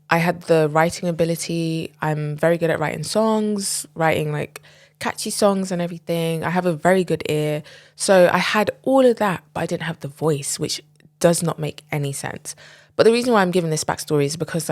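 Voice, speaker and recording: A woman's voice: sits low, dry recording. A young woman in her twenties hosting a podcast, talking openly in a loose, chatty way with many "like"s and "um"s. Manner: chatty, lots of "like" and "um". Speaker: podcast host. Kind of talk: casual confessional monologue.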